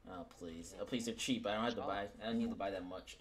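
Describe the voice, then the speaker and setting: A man's voice: on the low side, steady tone, fast talker, natural voice. A man playing a cocky, swearing werewolf in a casual, improvised game roleplay. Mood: cocky, dismissive. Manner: brash, repetitive, swearing. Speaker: roleplay player and lead actor. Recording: noisy home game mic.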